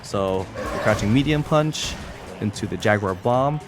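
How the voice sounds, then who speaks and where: Male gamer, lowish voice, informal and a little hesitant, walking through moves as a tutorial over clear background music. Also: lively intonation, unhurried. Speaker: competitive gamer demonstrating a character. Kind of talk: unscripted fighting game tutorial commentary.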